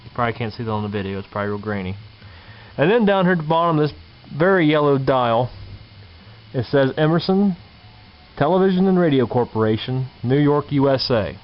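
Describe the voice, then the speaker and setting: A man's voice: medium pitch, animated, brisk. A man giving a casual, unscripted show-and-tell in a folksy, colloquial way, going through details step by step. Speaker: vintage radio collector presenting a find. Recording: dull lo-fi camera sound, background noise.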